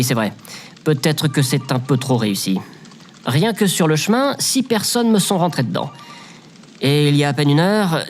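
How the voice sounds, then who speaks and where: A man's voice: fairly high pitch, a little hiss or hum, lightly acted. Man acting a sardonic, weary space operator. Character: bitter, sarcastic male former space station operator. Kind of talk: scripted audio comedy fiction acting.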